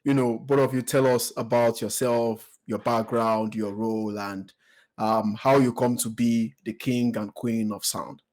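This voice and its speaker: A man from Nigeria with a Nigerian accent, hosting a podcast interview in a relaxed, hesitant way with many fillers and repeated words. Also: mid-range voice, measured pace. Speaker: podcast host. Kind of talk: conversational interview.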